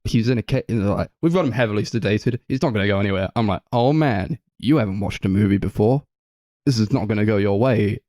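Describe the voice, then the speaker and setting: A man chats casually as a film podcast co-host in a dry-sounding recording. His delivery is animated and rambling, acting out lines as he retells things and dropping in swear words. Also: on the low side, fairly quick, dry recording. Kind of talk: casual film review chat.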